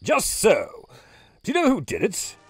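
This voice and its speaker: A man's voice: high voice, wildly swooping pitch, unhurried, soft background music, exaggerated voice. A man playing a hearty male cleric and healer who chuckles and speaks with jaunty exclamations. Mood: jovial. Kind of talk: audio drama acting.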